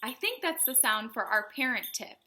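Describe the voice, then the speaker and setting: A woman hosting a storytime for young children, speaking in simple explanatory phrases with an animated, sing-song delivery. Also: fairly high pitch, moderate pace. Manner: animated, exaggerated questions and exclamations for young children. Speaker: children's librarian and storytime host.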